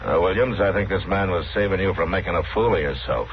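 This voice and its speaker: An actor playing a calm, authoritative Old West marshal in a radio western, speaking in a terse, firm, unhurried way. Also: male voice, low-pitched, slight background noise. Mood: stern. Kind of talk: 1950s radio western acting. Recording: muffled old radio recording, loud saloon crowd.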